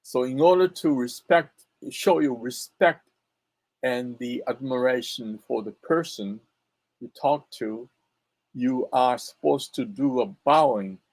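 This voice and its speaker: Slow, halting man with a Japanese accent. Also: mid-range voice, a little mellow, no room sound, low-quality recording. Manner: halting, many fillers and restarts. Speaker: pronunciation coach. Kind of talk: casual spoken lesson.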